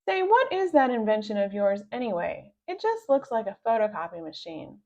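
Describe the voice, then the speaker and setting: A woman's voice: mid-range voice, big pitch swings, normal speed, a little mellow, highs cut off. A woman voicing a confident, mischievous schoolboy prankster with a casual, breezy manner in a children's storybook. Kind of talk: children's storybook read-aloud.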